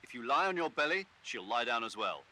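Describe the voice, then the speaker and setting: Piercingly bright commanding officer. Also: male voice, mid-range voice, big pitch swings, a little fast, slight background noise. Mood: commanding, instructive. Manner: authoritative, instructive. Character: crisp, commanding male military officer briefing an agent. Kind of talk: video game voice acting.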